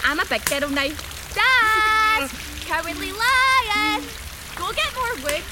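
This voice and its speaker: A woman's voice: very high voice, expressive, slow, heavy background noise, exaggerated voice. An actress playing a cruel, gleefully taunting young woman turned killer in a horror radio play. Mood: taunting, bossy. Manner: mocking, menacing sing-song taunts.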